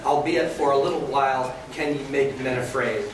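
A man's voice: mid-range voice, fairly even intonation, moderate pace, lightly acted. Man reading as a defiant pagan priest, very echoey. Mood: solemn, proud. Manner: archaic, declamatory verse delivery. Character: defiant, fervent pagan priest in a verse drama. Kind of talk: staged dramatic reading. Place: hotel conference room, indoors. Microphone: camera across the room. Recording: very echoey room, distant mic, faint noise.

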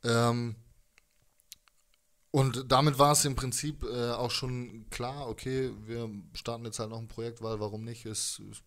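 A man in his thirties talks in a loose, slangy interview style. His pitch sits in a middle range. He rambles and jokes along casually, speaking into a handheld mic indoors. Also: flat delivery, measured pace, a bit bright. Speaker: musician, interview guest. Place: living room music space, indoors. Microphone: handheld microphone.

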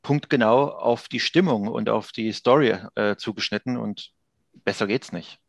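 A man's voice: mid-range voice, normal speed, gravelly, dry recording. A man in his thirties chatting casually as a guest in an interview, speaking into a headset on a video call. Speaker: audio drama producer, interview guest. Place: indoor office room on a video call.

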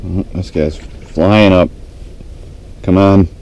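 A man giving low-key, casual running commentary to himself as he fishes, recorded on a body-worn action camera. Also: steady tone, slow pace. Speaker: fishing vlogger. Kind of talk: casual running commentary while ice fishing. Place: small ice-fishing shelter on a frozen lake, sometimes out on open ice. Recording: dark muffled sound, background noise.

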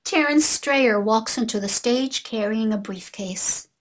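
A woman's voice: fairly high pitch, a little slow. A woman narrator reading stage directions as scripted narration for a play reading.

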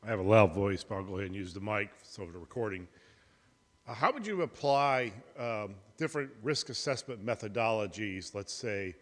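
A man's voice: on the low side, expressive, normal speed. A man in the audience asking the presenter a long, winding question at a mic. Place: conference hall, audience mic. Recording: reverberant hall.

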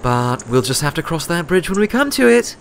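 A man voicing a bright, excitable young female pony scholar in a storybook reading, eager and earnest. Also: fairly high pitch, brisk, slight background noise, pitched above the performer's own voice. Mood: matter-of-fact. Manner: eager, earnest, thinking aloud. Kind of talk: storybook character voice.

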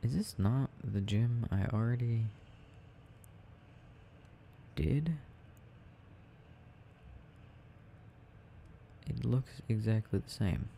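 A young man playing an affectionate, gently teasing boyfriend in an ASMR audio roleplay, murmuring and rambling as he games. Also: medium pitch, normal speed, vocal fry, a little hiss or hum, natural voice. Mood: absorbed, puzzled.

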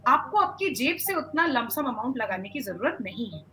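A woman's voice: high voice, very animated, normal speed, sharp tone, faint music behind. A young woman with an Indian accent, explaining informatively as an expert guest on a video call and mixing in English words. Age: young adult. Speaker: solar company representative, expert guest. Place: indoor furnished room.

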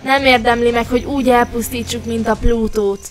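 A woman's voice: on the high side, steady tone, faint music behind, natural voice. Woman acting an earnest young alien princess. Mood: pleading. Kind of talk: animated fairy tale dub.

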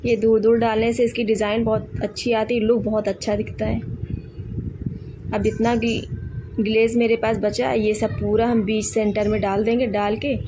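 A woman calmly explaining each step of a cake recipe in a home kitchen, speaking to a camera over the counter. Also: on the high side, normal speed, noisy background. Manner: calm step-by-step instructions. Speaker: home baker. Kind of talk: cooking tutorial narration.

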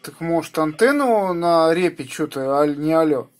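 Higher-voiced man chatting on ham radio. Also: animated, normal speed, slight background noise. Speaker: amateur radio operator. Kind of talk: casual ham radio chat.

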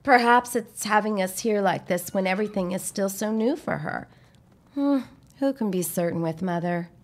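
An actress playing a poised, dramatic young debutante elder sister with airy, formal diction in a period audio drama. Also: female voice, medium pitch, normal speed, natural voice. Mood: airy, affectionate. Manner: airy, affected, formal old-fashioned diction.

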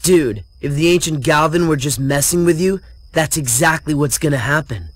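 A man's voice: on the high side, moderate pace, dry recording, lightly acted. A man voicing a tough, sarcastic older teenage guy in a video game cutscene, sardonic and dismissive.

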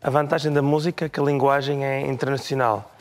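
A man in his thirties from Portugal, a conductor, giving calm and deliberate interview answers. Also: mid-range voice. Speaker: orchestra conductor. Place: television studio, indoors.

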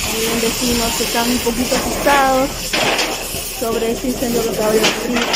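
A woman's voice: fairly high pitch, flat delivery, fairly quick. A woman with a Peruvian Spanish accent reporting live from a house fire. She uses many fillers and repeats herself, recorded on a handheld phone. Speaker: local news reporter. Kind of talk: on-the-spot news report. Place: outdoors at a house fire scene. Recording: loud background noise.

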